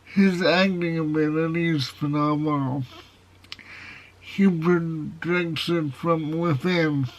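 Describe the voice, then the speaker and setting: A man's voice: a little high, fairly even intonation, a little hiss or hum. A man with a nasal, foghorn-like voice reviewing a movie in a casual video. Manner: slow, measured delivery with plain opinions. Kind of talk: casual film review to camera. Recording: dull, lo-fi sound.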